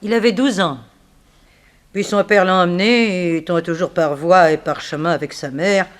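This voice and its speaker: An actress playing a proud, composed woman under suspicion in a 1960s French radio drama, speaking in a formal, measured, self-controlled way. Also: on the low side, steady tone, close to an everyday voice. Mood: suspicious, reasoning. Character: composed, proud female companion under suspicion, coolly reasoning.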